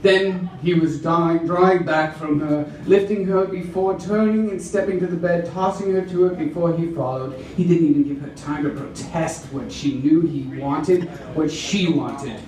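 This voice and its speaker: A man giving a theatrical, drawn-out dramatic reading of romance fiction to a live audience. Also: on the high side, a little mellow. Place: roomy venue with a live audience. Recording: echoey room from far off, audience noise.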